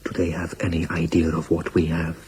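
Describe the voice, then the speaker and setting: A man's voice: sits low, completely flat delivery, a little fast, a little mellow, a little hiss or hum, natural voice. A man playing a volatile middle-aged German dictator in a radio drama, speaking emphatically and declaiming his points. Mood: furious, scornful.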